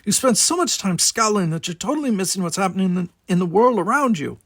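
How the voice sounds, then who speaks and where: A man's voice: sits high, expressive, fast, dry recording, studio quality, voice pushed up. A man voicing an earnest young male cadet, an exasperated brother who talks informally and urgently. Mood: insistent, urging.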